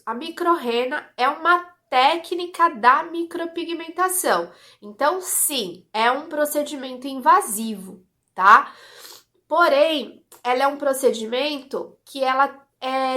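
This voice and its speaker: A woman's voice: sits high, bright, forceful tone. A woman with a congested voice teaching a live online class on eyebrow technique, explaining step by step and checking in with her viewers. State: congested, voice may crack. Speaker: eyebrow micropigmentation instructor. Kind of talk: live-stream class with chat greetings.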